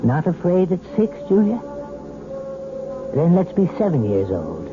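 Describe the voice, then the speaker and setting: Patient shrink hypnotizing someone, old radio drama, coaxing questions, thin phone-like sound, music behind. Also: male voice, high voice, wildly swooping pitch, a little slow, full character voice. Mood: probing. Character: probing, patient male hypnotherapist doctor. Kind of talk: 1970s radio drama acting.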